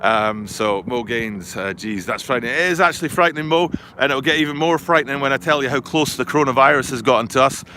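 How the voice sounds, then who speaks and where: A man's voice: medium pitch, fairly even intonation, quick pace, few pauses, bright, forceful tone. Rambling man outdoors by traffic. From Scotland. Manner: rambling, self-correcting, chatty. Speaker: vlogger. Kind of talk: live outdoor vlog commentary. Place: outdoors by a river and highway in a city. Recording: faint outdoor street noise. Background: traffic and city ambience.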